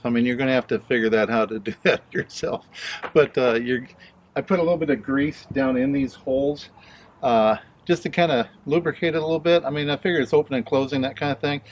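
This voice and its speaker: A man's voice: mid-range voice, muffled recording, slight background noise. A man casually narrating a hands-on DIY installation, explaining it plainly step by step.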